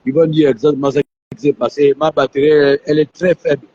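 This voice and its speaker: A man hosting a live stream from an airport on his phone, hoarse and coughing from a flu, repeating himself to his viewers. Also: on the high side, level tone, quick pace, a little mellow, a little hiss or hum. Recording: muffled phone audio.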